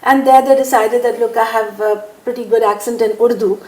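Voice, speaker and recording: A woman's voice: fairly high pitch, steady tone, fairly quick, warm tone, echoey room, rough recording. An older woman from India talking at an easy pace in an interview, laughing often and using lots of fillers. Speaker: interviewee, businesswoman. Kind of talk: relaxed conversational interview.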